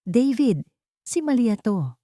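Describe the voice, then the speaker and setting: A woman's voice: on the high side, sing-song, slow pace, mellow, dry recording, studio quality, voice pushed up. A woman with a Filipino accent voicing a wronged, determined young woman in a dramatic audio story. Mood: urgent, shaken.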